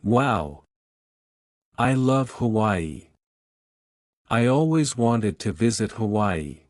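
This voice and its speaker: A man reading one side of a scripted English lesson dialogue, speaking in simple sentences with deliberate, even spacing. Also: on the low side, dry recording, studio quality. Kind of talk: slow scripted practice dialogue.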